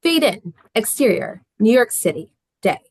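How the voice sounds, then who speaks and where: A woman's voice: fairly high pitch, sing-song, normal speed, husky, no echo. Woman narrating a screenplay, dry sound. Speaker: actor reading as narrator. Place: online video call.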